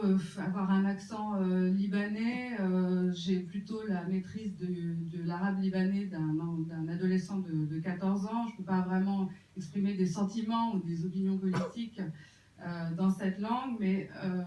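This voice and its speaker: An interpreter translating a film Q&A, halting and full of fillers, recorded from afar in a cinema. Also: mid-range voice, fairly even intonation, warm tone. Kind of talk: consecutive interpreting. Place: cinema auditorium. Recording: muffled, echoey hall from far off.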